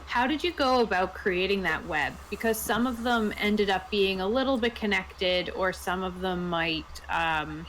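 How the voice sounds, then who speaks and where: A woman's voice: medium pitch, unhurried, few pauses, a bit bright, a little hiss or hum, low-quality recording. A woman in a casual chat, asking questions as a player in a tabletop game. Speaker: player interviewing the game master.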